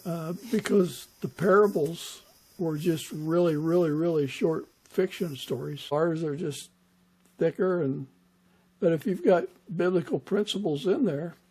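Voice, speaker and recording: Male podcast host, husky and mellow, measured interview delivery. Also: a little high, low-quality recording. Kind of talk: interview conversation.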